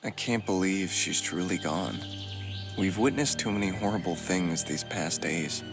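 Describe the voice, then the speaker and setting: A weary male survivor reflects somberly in a game scene. His voice is deep and mournful, with loud music underneath. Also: measured pace. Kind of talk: video game character acting.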